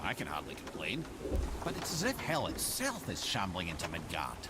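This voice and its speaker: A man's voice: low-pitched, huge pitch swings, moderate pace, heavy background noise. A male actor with a Scottish accent playing a wry, talkative, learned old companion in a video game. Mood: wry, troubled. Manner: wry, eloquent, theatrical.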